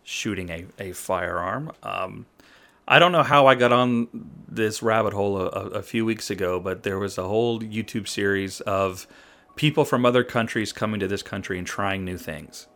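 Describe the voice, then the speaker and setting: A man who is a guest on a podcast, telling stories in a rambling, halting way. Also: lowish voice, fairly bright tone. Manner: rambling storyteller, halting restarts. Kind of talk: casual podcast chat.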